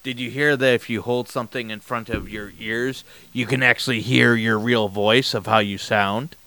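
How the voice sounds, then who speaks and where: A man's voice: mid-range voice, steady tone, normal speed, sharp tone. A man co-hosting a casual anatomy podcast, mostly agreeable and rambling in his explanations. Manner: agreeable, rambling, defensive when challenged. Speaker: podcast co-host. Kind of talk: casual chat about anatomy.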